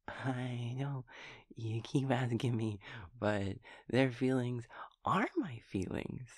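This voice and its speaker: A man playing a gentle, naive, scatterbrained young daemon friend in an audio roleplay, speaking in hesitant, childlike rambles. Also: medium pitch, no echo, clean studio sound. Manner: hesitant, stammering, rambling, childlike, softly giggling.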